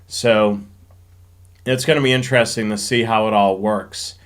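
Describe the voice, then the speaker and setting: A man's voice: fairly low pitch, steady tone, moderate pace. A man hosting a live show, chatting informally and explaining things as he answers questions, thinking aloud as he goes. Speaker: host of a railfanning live show. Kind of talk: casual live-stream question and answer chat.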